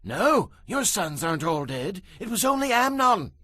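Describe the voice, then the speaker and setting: Audio Bible drama: emphatic court messenger, high animated male voice, very bright, dry sound. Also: unhurried, dry recording, heavily acted. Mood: urgent, assuring. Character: urgent, forceful royal courtier bringing news and counsel.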